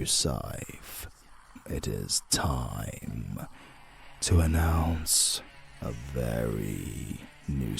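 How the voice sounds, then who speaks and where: A man voicing a solemn otherworldly void traveler, making slow, theatrical, portentous announcements in a deep gravelly voice. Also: exaggerated voice.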